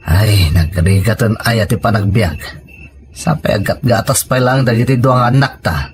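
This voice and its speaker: A man's voice: lowish voice, moderate pace, lightly acted. A man with an Ilocano accent playing a stern, grumbling husband weary of household expenses, complaining emphatically in a serial drama. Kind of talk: radio-style serial drama acting.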